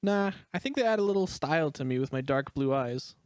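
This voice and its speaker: A man voicing a cheerful, easygoing high school boy in a story reading. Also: animated, fairly quick, clear, resonant tone, no room sound, studio quality, natural voice.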